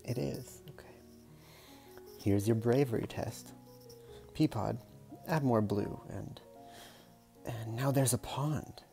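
Picture-book reader, mellow tone, creaky voice, casual and playful, murmured asides, faint music behind. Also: medium pitch, slow, pauses often. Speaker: storyteller reading a picture book aloud. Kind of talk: casual read-aloud with chatty asides.

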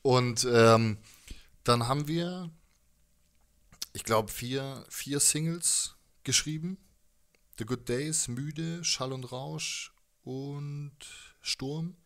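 A man's voice: medium pitch, very slow, long pauses, fairly bright tone. A man, around his thirties, chatting casually as an interviewed musician, rambling and jokey in slangy speech into a handheld microphone. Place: living room music space, indoors.

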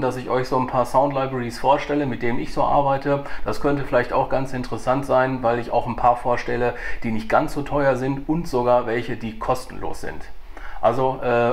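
A man, around his forties, talking informally and a little hesitantly as a vlogger explaining his work. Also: medium pitch. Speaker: vlogger and audio drama producer. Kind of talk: casual vlog explanation.

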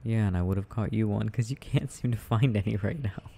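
A young man playing an affectionate, gently teasing boyfriend in an ASMR audio roleplay, murmuring and rambling as he games. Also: on the low side, brisk, gravelly, breathy edge. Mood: absorbed, rambling.

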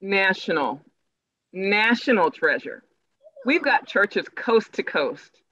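A woman's voice: medium pitch, sing-song, slow pace, sharp tone, husky, muffled recording, heavily acted. A woman playing an earnest, forward church organizer in an online play reading over a video call. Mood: eager, proud. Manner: eager, proselytizing. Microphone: video call microphone.

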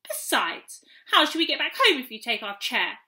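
A woman's voice: high voice, very animated, normal speed, bright, forceful tone, heavily acted. A woman voicing an adventurous, forthright young boy in a children's storybook, exclaiming and questioning. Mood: indignant.

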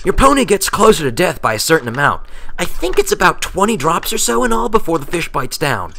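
A brash young female pegasus from a storybook, acted out by a man. She is playful and impatient, pitched up from the narrator. Her talk is blunt and full of casual slang. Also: big pitch swings, a little fast, vocal fry, bright, forceful tone, a little hiss or hum, full character voice.

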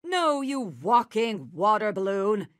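A woman's voice: medium pitch, sing-song, slow pace, slight background noise. A woman voicing a vain, theatrical queen bee villain and show host in a cartoon game, grandiose and showy. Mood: smug, menacing. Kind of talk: cartoon video game acting.

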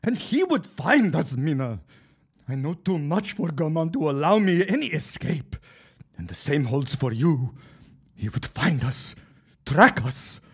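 An actor playing an anguished, subservient henchman in a period audio drama, with grave, formal, halting speech and a husky voice. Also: on the high side, sing-song, exaggerated voice. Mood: despairing.

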